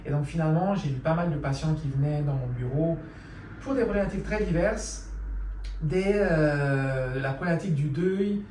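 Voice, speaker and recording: Calm, measured psychologist man, echoey room. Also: on the high side, far from the mic, a little hiss or hum. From Mali. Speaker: psychologist guest. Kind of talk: interview answers.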